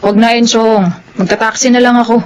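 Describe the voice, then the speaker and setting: An actress with a Filipino accent playing a guarded young tomboy, resistant and plaintive, in a radio drama. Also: female voice, fairly high pitch, fairly even intonation, brisk, slight background noise, natural voice. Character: guarded young tomboy who identifies as male.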